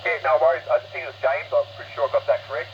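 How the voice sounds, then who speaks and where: A man's voice: on the high side, fairly even intonation, racing. An Australian man chatting casually as an amateur radio operator over a two-way radio, in a laid-back, hesitant, rambling way. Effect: two-way radio transmission. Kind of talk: casual radio contact chat. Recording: muffled, noisy shortwave radio reception.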